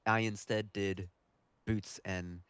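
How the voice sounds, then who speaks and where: A man's voice: lowish voice, steady tone, slow, a bit bright, clear, resonant tone, dry recording. A man speaking casually as a podcast guest, answering interview questions in a conversational style.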